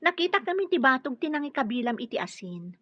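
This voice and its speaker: An actress playing a dutiful young Filipino daughter-in-law who stands up for herself in an Ilocano radio drama. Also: female voice, high voice, sing-song, fast, no echo, lo-fi sound. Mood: indignant.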